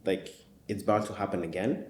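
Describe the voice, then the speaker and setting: A man's voice: low voice, steady tone, moderate pace, darkish tone, echoey room, slight background noise. A man hosting a casual interview, hesitant and searching for words, swearing casually. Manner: hesitant, many fillers and restarts, swears casually. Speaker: podcast host.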